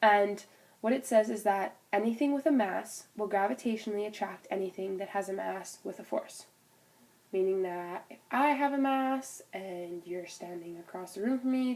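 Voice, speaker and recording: A woman's voice: mid-range voice, darkish tone. A young woman with a cold and a stuffed-up nose, casually explaining a physics topic for a class recording, with fillers and restarts. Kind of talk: informal spoken class presentation.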